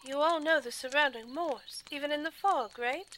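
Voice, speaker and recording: Woman playing a high, bright, worried young noblewoman. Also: normal speed. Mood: anxious. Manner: earnest, anxious. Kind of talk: audio drama acting.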